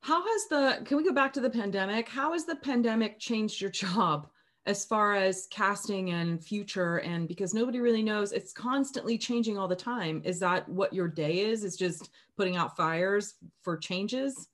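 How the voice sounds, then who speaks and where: A woman co-host on a video call asks her questions quickly. Her voice sits at a middle pitch, and she backs up the guest with steady mm-hmms. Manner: brisk questioner, frequent mm-hmms. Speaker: podcast co-host. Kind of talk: casual interview talk.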